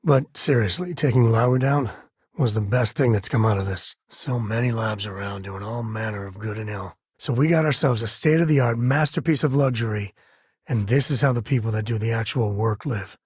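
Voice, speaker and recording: A man playing an easygoing, wry frontier spacefarer and father in a video game, with a husky voice and folksy phrasing. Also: mid-range voice, normal speed, darkish tone, no room sound, studio quality, lightly acted. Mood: wry, casual. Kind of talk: acted video game companion dialogue.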